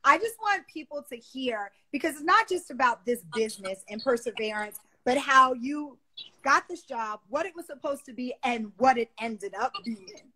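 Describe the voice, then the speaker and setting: A woman's voice: sits high, moderate pace, slightly rough, low-quality recording. A woman hosting a casual livestream from her bedroom, chatting animatedly on her phone. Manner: animated, exclamatory, gestures a lot. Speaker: livestream host. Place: bedroom, seated on a bed. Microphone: phone on a video call.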